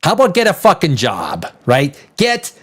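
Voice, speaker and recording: A man's voice: fairly high pitch, huge pitch swings, normal speed, husky. A man in his fifties with a North American accent, chatting off the cuff as a livestream host, emphatic and profane, often repeating his phrases. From Canada, born in Czech Republic. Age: 50s. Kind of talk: casual unscripted livestream chat.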